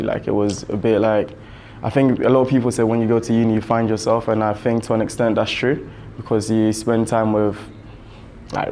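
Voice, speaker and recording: Laid-back young man, gravelly. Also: fairly low pitch, warm tone, slight background noise. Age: young adult. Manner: laid-back, many fillers. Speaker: student interviewee. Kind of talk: casual interview answers.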